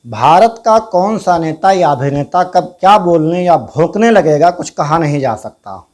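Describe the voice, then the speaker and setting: A man's voice: fairly high pitch, lively intonation, a little slow, a little mellow. A man delivering scripted political commentary in a formal, rhetorical, pointed manner. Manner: rhetorical, pointed questioning, formal Urdu-laced wording. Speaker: political commentary channel host.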